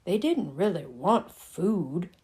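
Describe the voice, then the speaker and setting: A woman voicing a gentle, steady father explaining things in a measured way in a children's storybook. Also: lowish voice, breathy edge, exaggerated voice.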